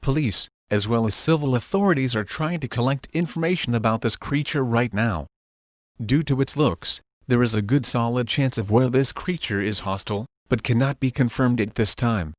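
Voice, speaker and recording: A man's voice: mid-range voice, moderate pace, no echo. A man reading a scripted news bulletin as a broadcaster, with informal, casual asides. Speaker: news broadcaster in an animated emergency-alert story. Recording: music behind the voice. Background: prominent music.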